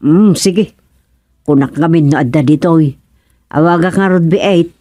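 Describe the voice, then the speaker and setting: A woman's voice: low voice, normal speed, dark tone, no room sound. Female actor, plain-spoken nosy villager, questioning, exclaiming, Ilocano accent, radio drama acting, dry sound.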